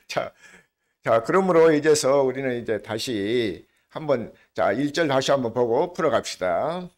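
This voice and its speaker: A man's voice: mid-range voice, expressive. An older man with a regional Korean dialect, preaching a conversational sermon as pastor and often asking the congregation questions. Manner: slows down to lead scripture reading together.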